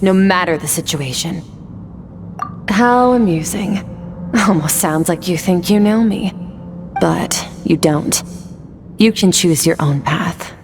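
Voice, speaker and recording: A woman playing a stoic, rational warrior mentor in a video game, composed, measured and detached. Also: lowish voice, darkish tone, in a large hall, slight background noise. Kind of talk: dramatic video game voice acting.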